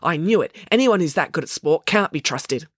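A woman voicing a feisty, combative girl in a storybook, excitable and sharp-tongued. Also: sits low, moderate pace, no room sound, clean studio sound, voice pushed up. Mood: pedantic, assertive. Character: feisty, combative girl who relishes fights.

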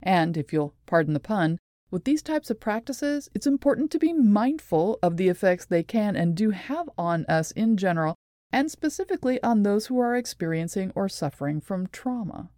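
A woman presenting a scripted explanatory talk in a measured, deliberate and articulate way. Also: mid-range voice, expressive, mellow, dry recording, clean studio sound. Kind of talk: scripted explanatory narration with read quotations.

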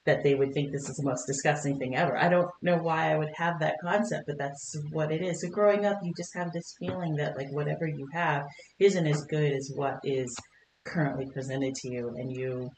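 A woman's voice: low voice, fairly even intonation, a little fast, slight background noise. Chatty woman guest in a roomy studio. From Kentucky, United States. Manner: warm, chatty, laughs often, many fillers. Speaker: podcast guest. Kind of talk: conversational interview. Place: podcast studio.

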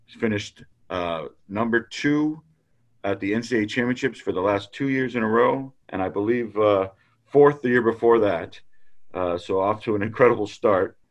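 A man's voice: fairly low pitch, normal speed, dull audio. A man hosting an interview in a casual way, friendly and a little hesitant, with many fillers. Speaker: podcast host.

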